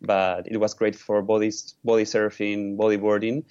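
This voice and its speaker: Low-voiced Peruvian man on a muffled line. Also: little pitch movement, darkish tone, smooth. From Peru. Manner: halting, with many restarts and fillers. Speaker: environmental lawyer, guest. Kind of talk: conversational interview answers.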